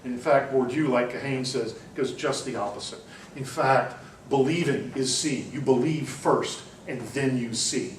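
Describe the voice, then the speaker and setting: Professor type lecturing to a seminar class, mid-pitched, pauses and restarts, recorded from the back of an echoey room. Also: male voice, far from the mic, a little hiss or hum. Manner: discursive, with frequent ums and restarts. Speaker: university lecturer. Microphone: far room recording.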